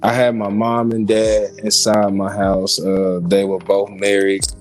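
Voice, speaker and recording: Low-voiced American man over music. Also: level tone, unhurried, darkish tone. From Kansas City, Missouri, United States. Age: 40s. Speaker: podcast guest giving testimony. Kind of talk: personal testimony in interview.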